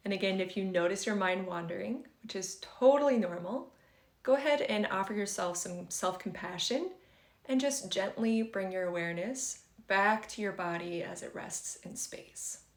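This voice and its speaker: A woman leading a guided meditation for beginners in a gentle, conversational and reassuring way. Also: medium pitch, moderate pace. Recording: roomy, echoing sound.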